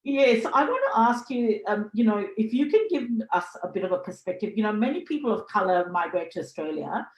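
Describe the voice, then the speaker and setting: A woman from Sri Lanka moderating a panel discussion, speaking earnestly with many pauses and fillers. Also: medium pitch, big pitch swings, dull audio. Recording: roomy echo.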